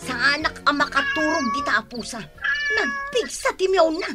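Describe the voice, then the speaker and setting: A woman acting a fussy, excitable mother in an Ilocano radio drama, with an Ilocano accent and an exclamatory way of talking. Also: high voice, moderate pace, loud music behind the voice. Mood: scolding. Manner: exclamatory, nagging. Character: fussy, excitable mother fond of her pet cat.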